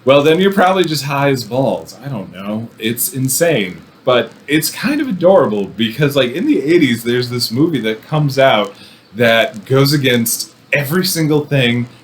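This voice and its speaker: Male film commentator, medium pitch, casual and rambling with wry asides; slightly noisy recording. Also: big pitch swings, normal speed. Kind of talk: casual movie review talk.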